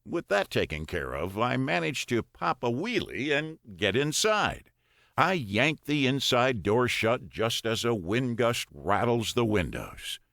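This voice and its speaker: A man narrates first-person fiction in a mid-range voice with a slow, measured pace. His pitch moves widely as he dramatizes the prose. The recording is close and dry, like a studio audiobook. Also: sing-song, creaky, fairly bright tone, no echo.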